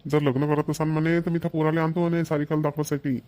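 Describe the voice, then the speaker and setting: A man's voice: a little high, level tone, fast, darkish tone, close to an everyday voice. A man voicing a grumbling middle-aged village husband in a cartoon, sarcastic with muttered asides. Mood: wry. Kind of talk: cartoon voice acting.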